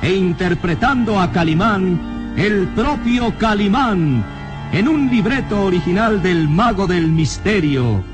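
A man's voice: sits high, lively intonation, takes it slowly. A man narrating a radio drama in a solemn, theatrical scripted style. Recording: vintage radio drama with music behind.

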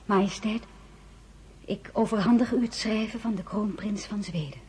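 An actress playing an elegant, composed woman of high rank in a radio drama, narrating and speaking in a measured, intimate way. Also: darkish tone, a little hiss or hum, lightly acted. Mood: formal. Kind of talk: radio play acting with first-person narration.

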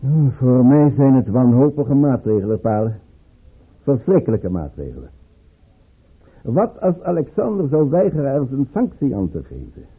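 An actor playing an anxious, principled imperial chancellor with formal, measured, troubled delivery in a Dutch radio play. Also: male voice, mid-range voice, sing-song, slightly rough. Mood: sorrowful, dreading. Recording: muffled, narrow-band old radio recording.

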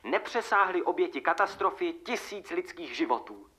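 A performer playing a solemn official radio announcer, reading a news bulletin in a formal, measured way. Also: medium pitch, sing-song, pushed and bright, breathy edge, full character voice.

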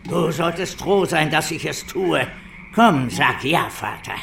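Radio drama villain: older spiteful schemer, theatrical and self-righteous, high-pitched and very animated. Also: male voice, measured pace, creaky, slight background noise, exaggerated voice. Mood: indignant, bullying. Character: wheedling, spiteful older male scoundrel and bullying stepfather.

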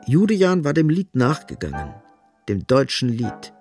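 A man narrates a radio play in a gravelly voice with lively shifts of pitch. His delivery is grave and literary, built on short, emphatic phrases. Music plays faintly underneath. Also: moderate pace.

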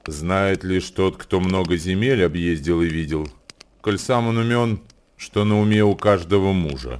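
An actor in a radio play voicing a grave male wanderer who recites ancient wisdom verse in a deliberate, declamatory way. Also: deep voice, darkish tone, a little hiss or hum. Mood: grave, reflective. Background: music.

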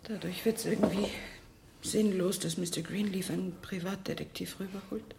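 An actress playing an earnest, expressive young woman in a radio drama. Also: mid-range voice, a little slow, vocal fry, heavy background noise. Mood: subdued.

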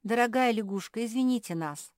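A woman's voice: a little high, a little fast, darkish tone, no echo, studio quality, voice pushed up, close to an everyday voice. A woman voicing a haughty, vindictive female frog in a children's storybook, indignant and imperious. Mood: offended.

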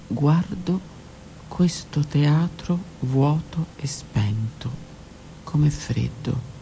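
A woman narrating her own theatre monologue in a hushed, lingering, measured way. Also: deep voice, vocal fry, a little hiss or hum, rough recording. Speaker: author and performer.

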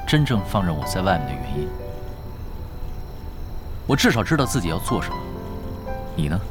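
A lively, bantering young man. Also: deep voice. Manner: bantering, expressive. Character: young man, teasing twin brothers bickering over dinner. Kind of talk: Chinese audio drama acting.

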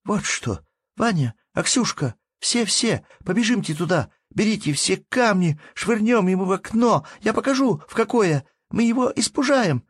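Breathless little peasant girl, high and urgent, voiced by a man. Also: expressive, moderate pace, slightly rough, no echo, studio quality. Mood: rousing.

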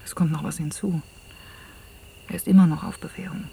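An actress playing a troubled, soft-spoken woman in an intimate radio drama, often hushed to a near-whisper as she confides and narrates. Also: low-pitched, fairly even intonation, fairly quick, creaky. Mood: troubled, confiding. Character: troubled, soft-spoken woman recounting an entangled love affair. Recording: hissy old cassette radio-play recording.

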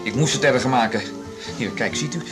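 Actor playing desperate husband, quick, animated, hesitant, evasive, radio drama, music behind. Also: male voice, mid-range voice, natural voice. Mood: apologetic. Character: flustered, pleading husband of an alcoholic, desperate and evasive. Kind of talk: Dutch 1980s radio drama acting.

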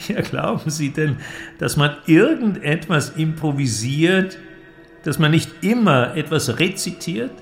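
An actor playing an eloquent, cynical inventor who argues in a declamatory, creaky voice in a literary audio drama. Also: male voice, a little high, lively intonation, unhurried, soft background music. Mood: persuasive, mocking. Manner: declamatory, argumentative, rhetorical. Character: male inventor, cynical, eloquent philosophical persuader.

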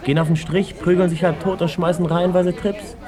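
An actor playing a blunt, cynical, unfazed teacher in a radio play. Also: male voice, high voice, flat delivery, brisk, a crowd in the background, close to an everyday voice.

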